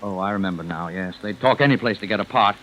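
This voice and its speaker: An actor playing an evasive, nervous male playwright in a 1950s radio drama, speaking haltingly. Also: on the low side, pushed and bright. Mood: guarded, brisk. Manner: hesitant, halting. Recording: muffled noisy vintage radio recording.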